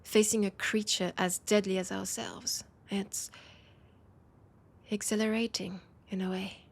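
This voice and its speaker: A woman with a French accent playing an ancient, proud and wistful vampire veteran in an intimate audio roleplay, with a husky, breathy voice and lingering pauses. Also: medium pitch, level tone, slight background noise. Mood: tender, sentimental. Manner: slow, sighing, lingering pauses.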